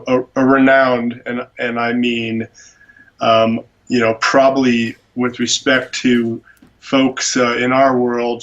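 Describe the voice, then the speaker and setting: A man's voice: mid-range voice, fairly bright tone. Guest attorney, male, mid-range voice, eager and effusive, heard over a muffled phone connection. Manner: enthusiastic, gushing about a speaker. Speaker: animal rights attorney, guest. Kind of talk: phone interview.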